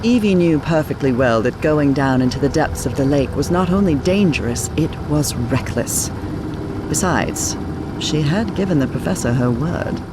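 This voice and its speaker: An actor playing a grave, measured storyteller narrating a video game. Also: male voice, a little high, expressive, background noise, close to an everyday voice.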